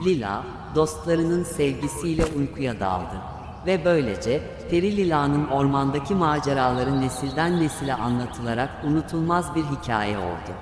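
A man's voice: medium pitch, lively intonation, normal speed, faint music behind. A man narrating a scripted children's fairy tale as a storyteller. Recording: echoey long reverb, background sounds.

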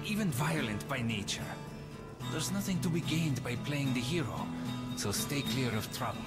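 A man playing a caring, cautious middle-aged stepfather and station leader in a video game, measured and paternal. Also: mid-range voice, natural voice. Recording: loud music behind.

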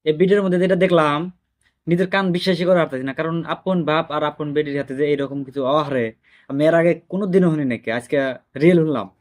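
A man's voice: fairly high pitch, fast. A man with a regional Bangladeshi Bengali accent giving casual reaction commentary in an incredulous, emphatic way. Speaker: reaction video host.

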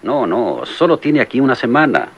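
A man's voice: mid-range voice, big pitch swings, moderate pace, lo-fi sound, lightly acted. An actor playing an earnest police lieutenant who insists on his point to his captain, in an old radio drama. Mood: insistent. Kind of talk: 1960s radio drama acting.

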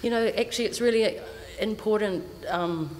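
A woman, speaking as an artist on a panel, often repeating words as she gathers her thoughts. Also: medium pitch. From New Zealand. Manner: halting, repeats words while thinking. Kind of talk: reflective panel talk. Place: indoor art gallery. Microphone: stand mic. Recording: reverberant gallery, faint hiss.

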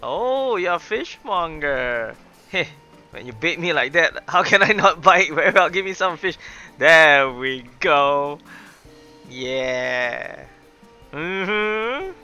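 A young man doing excitable, chatty live game commentary, exclaiming and reading dialogue aloud. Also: on the high side, slow, highs cut off, faint music behind. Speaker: gaming streamer.

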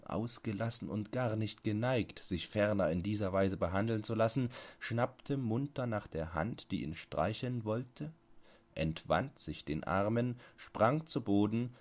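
A man narrating a literary bedtime audiobook, reading with measured, deliberate phrasing. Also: lowish voice.

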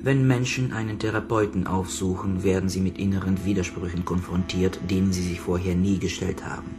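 A psychotherapist in a radio crime drama. His voice sits very low, and he speaks with calm authority. He questions in formal, measured sentences and does not let go. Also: music in the background. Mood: probing, controlled. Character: calm, probing male psychotherapist, authoritative and persistent. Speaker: actor in a radio crime play. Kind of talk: German radio drama acting.

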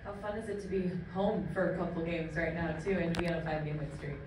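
Female reporter, lowish voice, quick questions from off mic in a press room. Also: fairly even intonation. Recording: very echoey room, distant, faint noise.

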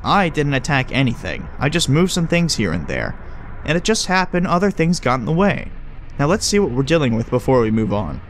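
Male actor as flippant bug-swarm posing as a man, breezy, chummy, mid-pitched, horror audio drama, faint noise. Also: sing-song, a little fast, close to an everyday voice. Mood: wry, breezy. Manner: wry, breezy, teasing, coaching.

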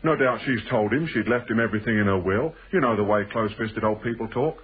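An actor playing a shrewd, insinuating stranger in a British radio thriller, with a teasing, deliberate way of probing and a husky voice. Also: male voice, lowish voice. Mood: sly storytelling. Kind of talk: British radio drama acting. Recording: muffled band-limited old radio broadcast.

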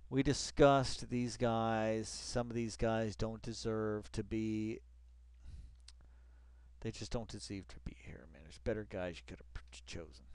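A man's voice: fairly low pitch, steady tone, measured pace, a little mellow, clear, resonant tone, no room sound. A man reading an article aloud with casual, profane commentary, muttering asides and repeating phrases in an exasperated way. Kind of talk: rambling read-along commentary, casual and profane.

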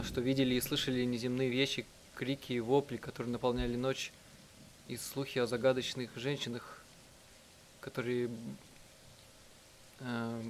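A man reading out a live translation of a visual novel in a halting, self-correcting way. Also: mid-range voice, slight background noise, low-quality recording. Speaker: let's player reading out translations. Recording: music behind the voice. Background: game music.

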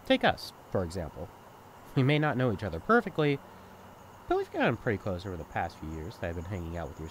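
A young man played by a male voice actor chats candidly as a close friend in an intimate audio roleplay. His mid-pitched voice moves up and down freely, casual and teasing yet caring. Faint night insects chirp behind him. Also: a little hiss or hum. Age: young adult. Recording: night ambience with chirping crickets. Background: crickets and insects, outdoor ambience.

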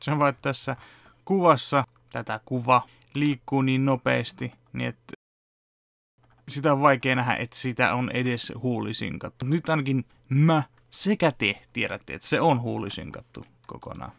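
Brief: young male vlogger, deeply gravelly, mid-pitched, relaxed walkthrough delivery, filmed close-up at a home desk. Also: no echo, faint music behind. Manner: relaxed, explaining step by step with fillers. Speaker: vlogger and fan dubber. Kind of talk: casual behind-the-scenes chat. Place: home room at a computer desk.

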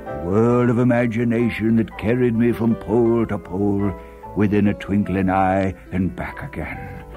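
A man's voice: lowish voice, moderate pace, music in the background. A man reading a scripted, nostalgic radio narration in a lyrical, reflective manner. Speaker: program narrator.

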